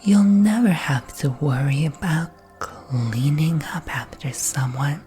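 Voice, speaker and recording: A man playing an upbeat advert narrator in a breathy ASMR whisper, with a soothing, salesy delivery. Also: dark tone, faint music behind. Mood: soothing, promotional. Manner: slow, soothing salesy pitch. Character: smooth, upbeat promo video narrator selling robots.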